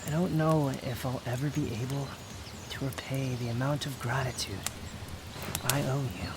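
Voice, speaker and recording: A man's voice: mid-range voice, fairly even intonation, normal speed, very noisy, close to an everyday voice. A man playing a proud, bitter young man, guarded but tender, in an intimate ASMR roleplay with brooding, curt, confessional delivery. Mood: guarded, vulnerable. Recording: outdoor nature ambience clearly behind voice.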